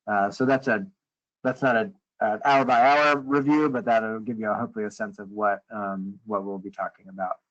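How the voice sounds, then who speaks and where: Low-voiced man on a dull conference line. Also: steady tone. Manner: hesitant, halting, often rephrasing mid-sentence. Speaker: meeting leader on a conference call. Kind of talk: informal meeting briefing. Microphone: conference call line. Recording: dull, lo-fi conference call audio.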